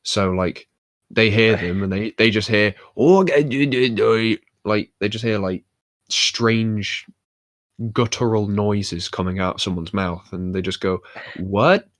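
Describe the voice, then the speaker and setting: A man's voice: fairly low pitch, fairly even intonation, a little slow, fairly bright tone, no room sound, studio quality. A man co-hosting a podcast and chatting casually, rambling with many fillers and restarts. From United Kingdom. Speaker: podcast co-host. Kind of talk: casual chat.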